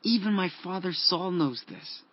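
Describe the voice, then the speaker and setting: A man's voice: high-pitched, expressive, a little slow. A man playing a loyal, steadfast young prince who reassures his friend in a scripture drama. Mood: reassuring. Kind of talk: dramatized scripture audio acting. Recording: dry, dull lo-fi audio.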